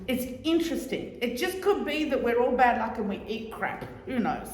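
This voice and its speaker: A middle-aged woman giving a loud, chatty, joking talk to a society audience, repeating her key points. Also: fairly high pitch, expressive, normal speed. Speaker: guest speaker on oral history. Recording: echoey hall.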